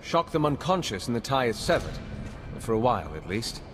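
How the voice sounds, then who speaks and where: An actor playing an earnest, guarded intelligence agent in a video game, grave and intent. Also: male voice, medium pitch, very animated, brisk, slight background noise, lightly acted. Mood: thoughtful. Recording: long hall reverb, music behind.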